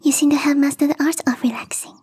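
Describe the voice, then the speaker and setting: A woman voicing a young catgirl gardener in an ASMR roleplay, teasing, coy and playfully smug, with a breathy, whispery voice. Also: high voice, fairly even intonation, quick pace, mellow, no room sound, lightly acted. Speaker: voice actress.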